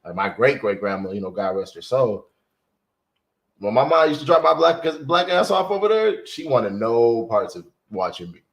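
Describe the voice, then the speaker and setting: A man's voice: mid-range voice, big pitch swings, moderate pace, gravelly. A man in a casual discussion, explaining earnestly with "like" fillers. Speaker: guest.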